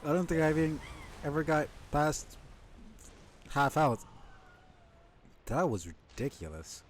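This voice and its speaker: A man with a low voice giving casual, informal live commentary as a video game streamer, thinking out loud. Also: slight background noise. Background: game music behind the voice.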